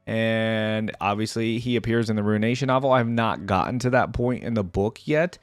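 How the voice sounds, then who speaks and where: A man's voice: fairly low pitch, moderate pace, no room sound, soft background music. A man hosting a reaction video in a casual, chatty way, reading things out and commenting informally. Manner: informal, reads out then comments.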